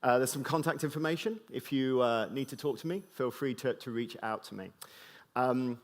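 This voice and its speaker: A middle-aged man from Britain speaks with British English lightly tinged by mid-Atlantic sounds. He delivers a conference keynote in a loose, joking way, full of ums and restarts. His voice sits at an ordinary middle pitch for a man. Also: normal speed. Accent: British English with a slight mid-Atlantic tinge. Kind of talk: conference talk to a live audience.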